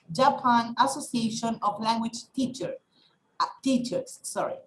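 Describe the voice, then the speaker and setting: Lady hosting a webinar, native spanish speaker, slightly high voice, bit halting, echoey call audio. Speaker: webinar host. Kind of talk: welcoming and introducing a speaker, partly read. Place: indoors on a video call.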